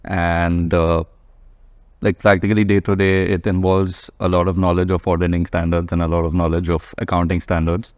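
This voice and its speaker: A man with an Indian accent speaks as a guest in a casual panel interview. His voice is very low and fairly flat, captured in a slightly dull recording. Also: normal speed, no room sound. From Mumbai, India. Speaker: guest, practicing chartered accountant.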